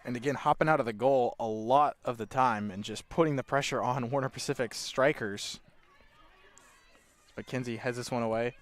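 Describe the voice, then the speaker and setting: A man's voice: medium pitch, fairly even intonation, normal speed. A man with a Californian accent giving laid-back, conversational play-by-play at a college soccer match. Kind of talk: play-by-play commentary.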